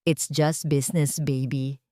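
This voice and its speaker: A woman's voice: deep voice, fairly even intonation, unhurried, dark tone, no echo, studio quality. A woman voicing a scheming, ambitious businessman who speaks coldly and with scorn in a storybook reading. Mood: smug. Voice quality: mixes English into lines.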